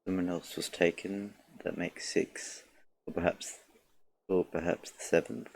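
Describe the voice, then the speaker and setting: A streamer giving low-key, muttered commentary on a game and reading bits aloud. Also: little pitch movement, a little slow, gravelly, a little mellow, a little hiss or hum, poor audio.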